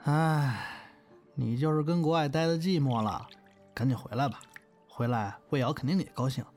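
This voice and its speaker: A man's voice: medium pitch, normal speed, a little mellow, natural voice. A man playing a modest young comic performer in an audio drama, hesitant and prone to stammering when flustered. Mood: awkward, evasive. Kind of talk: Chinese audio drama dialogue. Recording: music behind the voice.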